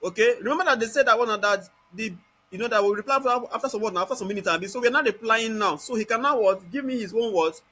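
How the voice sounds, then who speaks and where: Nigerian man, software instructor, high-pitched, bright forceful tone, informal step-by-step teaching, question-and-answer habit. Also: fast, a little hiss or hum. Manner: constantly asks rhetorical questions and answers them. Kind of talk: informal step-by-step screen tutorial.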